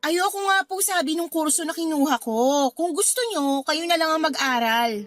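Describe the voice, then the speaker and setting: Snappy, high-pitched rebellious young woman. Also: normal speed, fairly bright tone, no echo. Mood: irritated. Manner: snappy, back-talking. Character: defiant, spoiled college-age eldest daughter, pregnant. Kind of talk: Tagalog radio drama acting.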